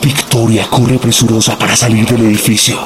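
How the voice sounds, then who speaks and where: An actor as the grave, ominous narrator of a horror radio drama, measured. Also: male voice, lowish voice, level tone, natural voice. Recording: clear background noise and ambience.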